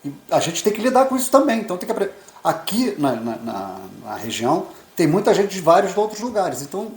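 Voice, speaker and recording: A man's voice: high-pitched, sing-song, a little fast, a little mellow. A Brazilian man in his fifties, co-hosting a casual chat and rambling with repeated words. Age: 50s. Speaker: co-host, immigrant journalist. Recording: echoey room.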